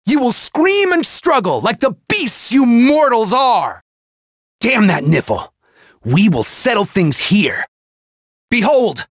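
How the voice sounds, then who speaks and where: Wrathful, bellowing fire god. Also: male voice, very high voice, fairly bright tone, no room sound, studio quality, full character voice. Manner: bellowing, grandiose. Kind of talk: theatrical game villain lines.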